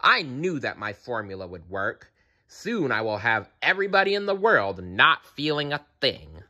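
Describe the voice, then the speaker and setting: Man as storybook villain, a nasty mad scientist: icy, gloating, big pitch swings, sharp tone. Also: very animated, normal speed, highs cut off, exaggerated voice. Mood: gloating, mocking.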